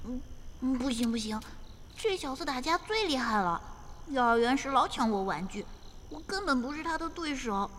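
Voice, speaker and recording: A woman's voice: sits high, expressive, normal speed, fairly bright tone. A woman voicing a timid but indignant little schoolboy in an audio drama, muttering hesitantly to himself. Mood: hesitant, scheming. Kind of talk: Chinese audio drama acting. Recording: reverberant, noisy with clicks and crickets.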